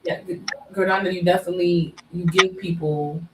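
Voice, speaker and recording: A fairly low-voiced woman hosts a podcast, backing her guests and asking follow-up questions. The audio is echoey and carries heavy background noise. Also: fairly even intonation, moderate pace, far from the mic. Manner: affirming, interviewer asking follow-ups. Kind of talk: casual podcast conversation. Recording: echoey room with loud noise.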